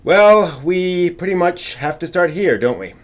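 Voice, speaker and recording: A man's voice: high-pitched, lively intonation, unhurried. Man hosting an informal news stream. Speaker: host of an anime news live stream. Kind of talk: informal news presenting with asides.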